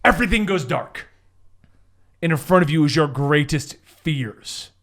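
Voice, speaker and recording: A man with a high, lively voice acts as game master at a tabletop game. His narration is casual and jokey, swinging freely in pitch and often breaking toward laughter. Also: a little slow.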